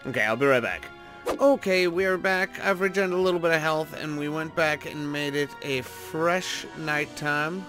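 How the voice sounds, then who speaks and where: Higher-pitched man doing casual game commentary. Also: moderate pace. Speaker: gaming video host. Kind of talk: casual playful gameplay commentary. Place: indoor voice-over recording over gameplay. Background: background music.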